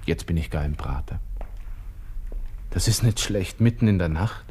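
A man's voice: low voice, lively intonation, moderate pace, darkish tone, slight background noise. An actor playing a despairing young army lieutenant with a Viennese accent, muttering his thoughts to himself in a radio drama monologue. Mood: bitter, hopeless. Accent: Austrian, Viennese. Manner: muttering to himself, colloquial, broken musing. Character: young Austrian army lieutenant, despairing, brooding over suicide. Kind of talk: radio drama interior monologue, close and intimate.

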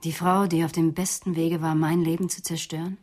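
An actress playing a cornered, bitter psychoanalyst who has killed, in a German radio crime drama, with an intense, defiant manner. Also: female voice, sits low, level tone, fairly quick, a little mellow.

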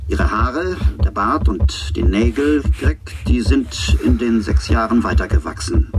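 Actor as chatty flight controller, humming noisy message. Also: male voice, fairly low pitch, natural voice. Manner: jovial, reassuring, breaking news gently. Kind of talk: German science fiction radio drama. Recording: clearly noisy message with hum and clicks.

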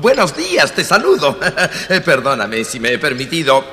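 An actor playing a nervous, boastful young fiddler in an audio storybook, stammering and repeating himself. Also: male voice, medium pitch, huge pitch swings, moderate pace, pushed and bright. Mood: nervous friendliness. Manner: stammering, repetitive, exclamatory. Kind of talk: audio storybook character acting. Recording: long reverb, faint music behind.

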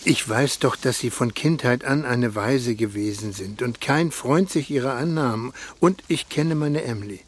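A man's voice: medium pitch, unhurried, few pauses, husky. An actor playing a kindly, devout older working man in a German radio drama, earnest, heartfelt and plain-spoken. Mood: gentle, remorseful.

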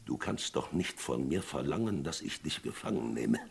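Desperate old west sheriff in a radio play, gravelly, super animated, urgent pleading. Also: male voice, rumbling low, normal speed, exaggerated voice. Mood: quiet, pleading. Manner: urgent, swinging from hushed to shouting. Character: desperate frontier sheriff pleading with his friend. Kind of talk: German radio play Western acting.

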